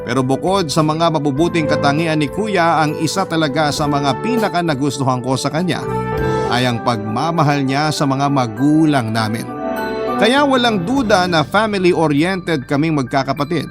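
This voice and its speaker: A man's voice: fairly high pitch, lively intonation, normal speed, natural voice. A man playing a younger brother who fondly narrates a first-person family story in a radio drama. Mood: fond, nostalgic. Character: younger son fondly recalling his older brother. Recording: clear music bed under voice.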